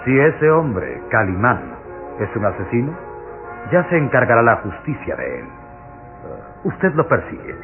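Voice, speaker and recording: An actor playing a suave, menacing aristocratic count in an old radio serial, measured and commanding. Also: male voice, medium pitch, wildly swooping pitch. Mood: coolly haughty. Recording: tinny lo-fi old radio serial, music behind.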